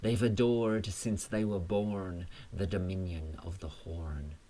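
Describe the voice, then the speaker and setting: Low, slow man reciting rhymed verse. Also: steady tone, warm tone. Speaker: poet reading own poems. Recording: faint ambient noise.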